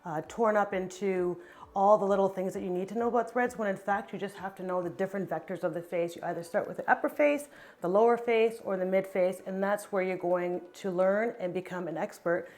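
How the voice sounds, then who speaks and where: A woman's voice: medium pitch, brisk, a little mellow. A woman, a nurse and teacher giving confident advice in an interview. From Canada. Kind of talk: testimonial interview answers.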